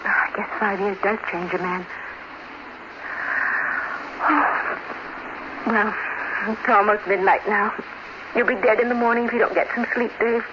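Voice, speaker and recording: A woman acting an anxious wife in a 1950s radio drama, earnest and expressive in her delivery. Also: mid-range voice, unhurried, long pauses, close to an everyday voice. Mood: concerned. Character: anxious young wife growing frightened of her husband. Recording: dull, noisy old radio recording.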